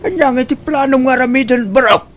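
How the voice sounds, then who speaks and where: A man's voice: extremely high pitch, normal speed, fairly bright tone, slight background noise. A man playing a stern, worried older village father in an audio drama. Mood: stern, alarmed.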